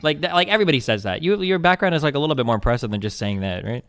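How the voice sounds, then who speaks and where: Fast-talking teasing man around thirty. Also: fairly high pitch, lively intonation, fairly bright tone, clear, resonant tone. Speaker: streamer host. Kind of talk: casual stream chat.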